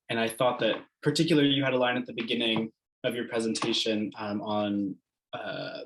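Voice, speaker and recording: A man's voice: mid-range voice, a bit bright, low-quality recording. A man asks a question at an online lecture, speaking over a video call. He circles his point with many fillers and restarts. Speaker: seminar attendee asking a question.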